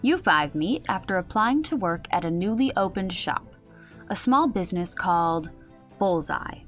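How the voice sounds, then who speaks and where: A woman's voice: fairly low pitch, lively intonation, moderate pace, fairly bright tone, faint music behind. A woman playing a wry game master who explains and narrates a comedic tabletop game in an audio drama. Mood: narrating.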